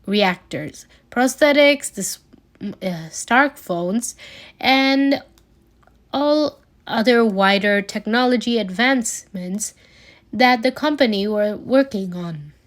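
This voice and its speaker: A woman's voice: on the high side, lively intonation, takes it slowly. A woman reading fan fiction aloud as an amateur narrator, occasionally stumbling over words. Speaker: amateur podfic reader.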